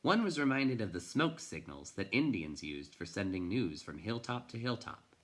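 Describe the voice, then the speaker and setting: A man reading a storybook aloud like a teacher, with expressive narration in measured phrases. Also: lowish voice.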